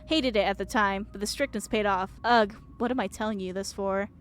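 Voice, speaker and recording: A woman's voice: medium pitch, a little fast, slight background noise, close to an everyday voice. A woman voicing a curt, weary, guarded woman from a story game, terse and dismissive. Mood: bitter.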